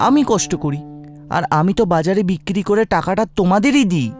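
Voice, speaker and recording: A man's voice: high voice, expressive, fairly quick, music in the background, voice pushed up. A man voicing an earnest, pleading young farmer, the poor younger brother in a cartoon, with a respectful, plaintive manner.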